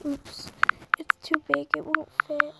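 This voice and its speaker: A young girl who is sick and losing her voice chats haltingly while showing a drawing on her phone at home. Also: high voice, completely flat delivery, heavy background noise. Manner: rambling, halting, with throat clearing. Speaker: drawing app vlogger. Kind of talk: casual screen-recorded chat. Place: bedroom at home, brother snoring nearby.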